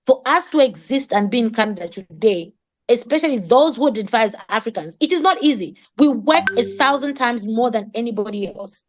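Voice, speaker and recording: Woman, non-native English, slightly high pitch, impassioned summit speaker, emphatic, rhetorical, repeating for emphasis. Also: lively intonation, a little fast. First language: not English. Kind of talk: impassioned advocacy speech.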